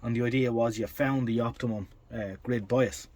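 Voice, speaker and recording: A man at medium pitch talks through a technical demonstration in a workshop. His delivery is casual and matter-of-fact, as if thinking aloud while he works. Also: steady tone, normal speed, a little mellow. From Ireland. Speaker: vintage radio restorer and collector. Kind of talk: casual hands-on demonstration commentary.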